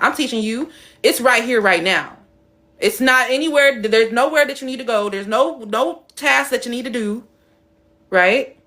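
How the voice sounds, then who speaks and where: A young woman hosting a casual live stream Q&A, blunt and emphatic, who swears freely, answers chat comments and plays with her voice, dropping low or going high. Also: fairly bright tone. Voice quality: playful, shifts her voice between high and deep.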